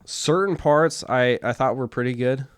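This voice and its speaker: A man's voice: mid-range voice, normal speed, clear, resonant tone, dry recording, clean studio sound. A man co-hosting a podcast, sharing his opinions in a casual, conversational discussion. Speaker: podcast co-host reviewing a TV series.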